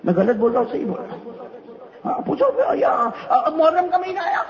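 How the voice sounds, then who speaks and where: A man with a strikingly high voice preaches to a gathering, repeating each phrase and calling questions out to the listeners. The recording sounds dull and lo-fi. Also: wildly swooping pitch, normal speed. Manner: repetitive, rhetorical, calls out questions to the crowd. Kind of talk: religious speech to a gathering. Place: religious gathering.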